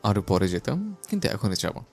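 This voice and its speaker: A man's voice: lowish voice, moderate pace, faint music behind. A man playing a bossy, teasing young husband in an audio love story, possessive but loving. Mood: tender teasing. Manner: teasing, possessive, lively delivery. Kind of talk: audio story character acting.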